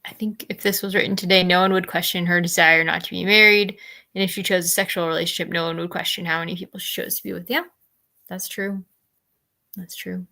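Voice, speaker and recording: A woman with a bright, forward voice and plenty of vocal fry hosts a casual book chat. She speaks tentatively, working out her thoughts as she goes. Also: lowish voice, little pitch movement, a little fast. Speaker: host of a book discussion livestream.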